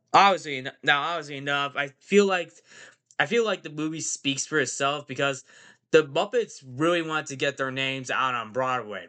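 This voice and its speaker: A man's voice: mid-range voice, pushed and bright. A man hosting a casual movie podcast, nasal from a cold, rambling and repeating phrases and fillers. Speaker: podcast host.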